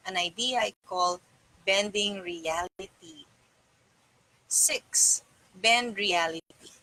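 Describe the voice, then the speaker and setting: A middle-aged woman reading and presenting a self-help book to camera in a deliberate, measured way, recorded indoors on a device mic. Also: mid-range voice, bright, forceful tone, low-quality recording. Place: small plain-walled room indoors.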